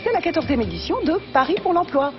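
A woman's voice: high voice, very animated, fast talker. A woman narrating a scripted TV news report as a reporter. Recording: slightly dull sound over music. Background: background music.

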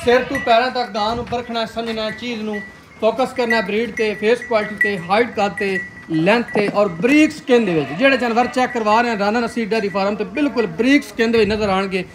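A man's voice: high voice, a little fast, crowd noise, rough recording. A man with a Punjabi accent presenting cows for sale in an outdoor farmyard, with hearty salesman patter aimed at his viewers. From Pakistani Punjab. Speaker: livestock video presenter.

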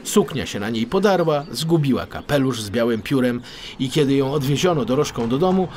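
A man narrating a children's audiobook in an expressive, scripted storytelling style. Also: medium pitch, fairly quick.

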